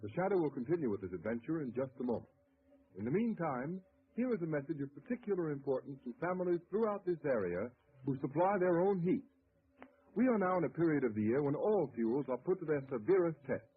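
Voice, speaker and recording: Brisk old-time radio announcer man. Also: a little high, sing-song. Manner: brisk, polished sales delivery. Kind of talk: scripted radio commercial. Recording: tinny old radio transcription with faint hiss.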